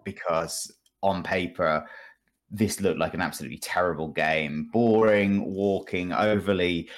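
Low-pitched man, wryly chatting about games. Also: fairly even intonation, unhurried. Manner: wry, sardonic, jokey. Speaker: podcast guest discussing video games. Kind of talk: casual podcast chat.

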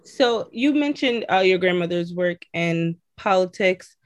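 A woman's voice: lowish voice, expressive, a little slow. A woman co-hosting a podcast, putting questions to a guest in a straightforward way. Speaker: podcast co-host. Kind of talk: casual podcast interview.

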